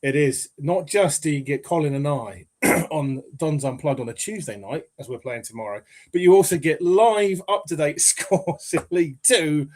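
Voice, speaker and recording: A man co-hosting a football podcast in casual chat, with a croaky voice, jokey and fond of repeating phrases. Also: on the high side, normal speed, few pauses, a little mellow. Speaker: podcast co-host.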